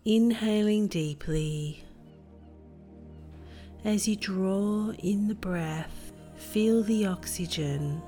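A woman narrating a guided meditation in a gentle, soothing manner with deliberate phrasing. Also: mid-range voice, soft background music. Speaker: meditation guide and podcast host.

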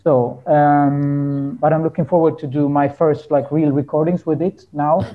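A man's voice: fairly high pitch, level tone, unhurried, phone-quality sound. A man chatting as an interview guest, searching for words in English. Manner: hesitant, searching for words in non-native English. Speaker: interview guest, folk musician. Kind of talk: casual conversational interview.

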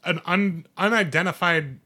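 A man's voice: high-pitched, moderate pace, pushed and bright. A man on a podcast panel, chatting casually about movies.

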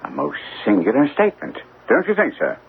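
An actor playing a cool, probing gentleman detective, measured and inquisitive, in a vintage radio drama. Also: lowish voice, huge pitch swings, a little hiss or hum. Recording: muffled narrow-band old radio.

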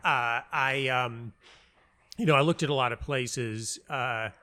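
American man reminiscing in a halting, rambling way. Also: medium pitch, pushed and bright. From United States (North Carolina). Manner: halting, self-interrupting, rambling storyteller with repeated words. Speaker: interview guest, playwright and audio dramatist. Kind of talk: casual reflective interview conversation. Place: hotel lobby.

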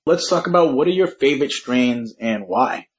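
A man's voice: medium pitch, animated, moderate pace. A man interviewing a guest, asking short, easygoing questions. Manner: brief, easygoing prompts. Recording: dull lo-fi sound.

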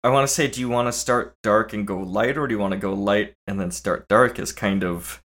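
A man co-hosting a casual movie podcast, relaxed and playful, talking after very little sleep. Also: on the low side, fairly quick. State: low on sleep. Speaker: podcast co-host.

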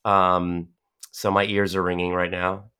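A man hosting an interview, chatting in a relaxed, enthusiastic way and often saying "like". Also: deep voice, measured pace, clear, resonant tone. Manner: enthusiastic, chatty, uses "like" a lot. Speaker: podcast host. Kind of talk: casual interview chat.

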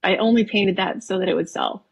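A woman from Wisconsin in her thirties, a painter talking casually as a podcast guest, relaxed and chatty with frequent ums. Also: medium pitch, a little fast, creaky, slightly rough. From Wisconsin, USA. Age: 30s. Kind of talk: casual interview conversation.

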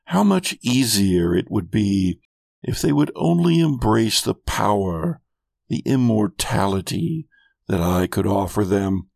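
Man performing a grandiose immortal villain, gravelly, theatrical, patient, contemptuous, podcast drama, dry close sound. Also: fairly low pitch, animated, takes it slowly, darkish tone, dry recording, heavily acted. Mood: disdainful, brooding. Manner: theatrical, brooding monologue. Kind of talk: dramatic villain monologue for an audio fiction podcast.

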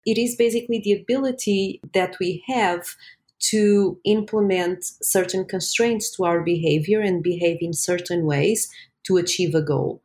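A woman's voice: fairly low pitch, moderate pace, a little mellow. A woman who is a senior science writer and speaks English as a second language, plainly explaining research terms in casual conversation. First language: not English. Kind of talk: casual podcast chat.

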